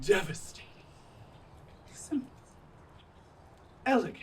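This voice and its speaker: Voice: medium pitch, expressive, takes it slowly, a little mellow, slight background noise. An actor playing a pompous, tyrannical radio playwright in a stage comedy, declaiming in a grandiose, imperious way. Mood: grandly self-admiring. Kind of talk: community theater stage comedy acting. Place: outdoor stage in a parking lot.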